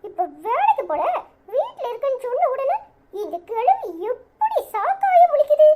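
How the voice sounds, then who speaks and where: A woman's voice: extremely high pitch, very animated, moderate pace, narrow, tinny audio, heavy background noise, pitched above the performer's own voice. A woman voicing a cheeky, scheming little boy who makes gleeful, mocking asides.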